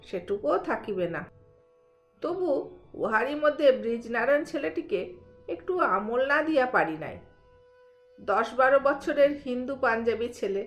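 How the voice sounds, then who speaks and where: A woman narrating a literary audio story in an expressive storytelling style. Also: sits high. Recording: roomy echo under soft music. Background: soft background music.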